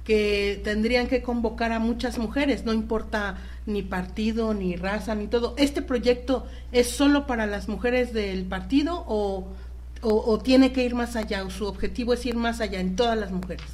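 A woman's voice: fairly high pitch, normal speed, a little mellow. A woman with a Mexican accent hosting a conversational radio interview. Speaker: radio host.

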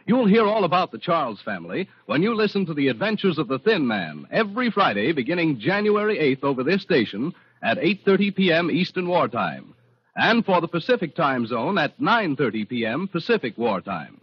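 A man's voice: a little high, very animated, fairly quick, slightly rough. A man announcing a radio promo in a 1940s broadcast style. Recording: dull, muffled old radio broadcast.

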